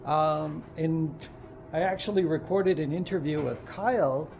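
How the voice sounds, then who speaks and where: A man giving an informal, halting walk-around tech demonstration, thinking aloud as he goes. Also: a little high, creaky, darkish tone. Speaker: presenter of a tech demo. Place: makerspace woodworking and tool shop. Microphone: wireless lavalier, sometimes built-in computer mic. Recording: wireless lav in noisy workshop.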